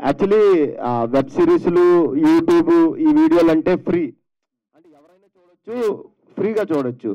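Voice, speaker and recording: A man's voice: on the high side, moderate pace, long pauses, mellow, highs cut off. A man working as a media reporter, putting questions at a press conference with hesitant, circling phrasing.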